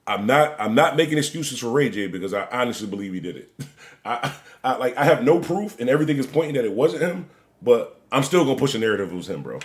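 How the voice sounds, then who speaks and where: Animated man in his forties, rambling. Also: medium pitch, fast. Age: 40s. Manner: rambling, joking, repeats himself; "bro" and "gang" at line ends. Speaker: stream host. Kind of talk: casual live-stream commentary.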